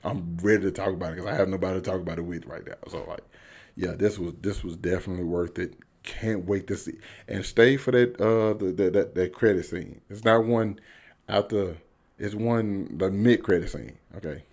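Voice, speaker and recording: Low-voiced American man, enthusiastic and slangy. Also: normal speed, darkish tone. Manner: enthusiastic, slangy, emphatic, repeats phrases for emphasis. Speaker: YouTube movie reviewer. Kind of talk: casual, unscripted video review to camera.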